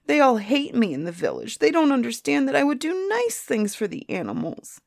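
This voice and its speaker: A woman voicing a lonely, self-pitying five-headed male griffin in a storybook tale, slow and resentful. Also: high voice, wildly swooping pitch, creaky, darkish tone, dry recording, clean studio sound, voice pushed up, full character voice.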